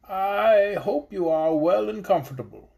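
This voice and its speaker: Young man, storybook: a reader giving a sincere, willing, unhurried voice. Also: mid-range voice, animated, gravelly, a little mellow. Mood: earnest. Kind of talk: audiobook character reading.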